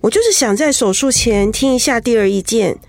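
High-voiced young wife. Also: female voice, lively intonation, a little fast, vocal fry, a little mellow, soft background music. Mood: anxious, polite. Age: young adult. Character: young married woman patient, composed but anxious. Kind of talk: radio drama acting.

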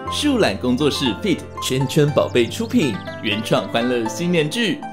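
A woman's voice: a bass voice, big pitch swings, normal speed. A woman announcer reading a scripted introduction to an audio drama. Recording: clear music bed.

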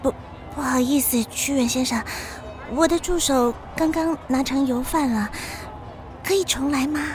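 A smug cooking contestant with affected politeness played by a woman in a children's radio drama. The voice is fairly high, with music behind it. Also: normal speed, natural voice. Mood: polite, sheepish.

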